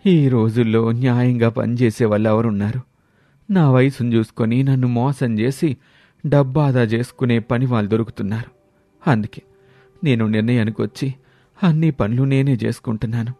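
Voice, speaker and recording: A man's voice: medium pitch, steady tone, moderate pace, warm tone, dry recording, voice pushed up, lightly acted. A man voicing an old male trader who has just been robbed, speaking in a raised, strained, pleading tone. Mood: shaken. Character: older male trader, frail and shaken.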